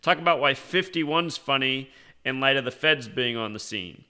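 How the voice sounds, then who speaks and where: A man's voice: mid-range voice, animated, normal speed, pushed and bright, clear, resonant tone. An American man delivering an unscripted commentary as an online show host, in a sardonic, pointed manner. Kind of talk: unscripted conspiracy monologue and commentary.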